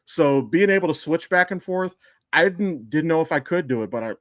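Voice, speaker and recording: A man's voice: fairly high pitch, expressive, a little fast, no room sound, clean studio sound. A man hosting a podcast in casual, informal conversation, swearing freely. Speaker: podcast host. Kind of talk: casual chat.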